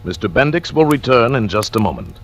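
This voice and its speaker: Animated vintage radio announcer man over music. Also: mid-range voice, brisk. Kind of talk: 1940s formal scripted announcing.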